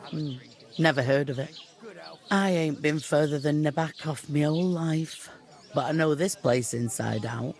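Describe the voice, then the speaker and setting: A man's voice: a little high, unhurried, a little mellow. A man playing a chatty, good-natured rustic tavern local in a medieval video game, with folksy country speech. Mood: friendly gossip. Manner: folksy, colloquial rural speech.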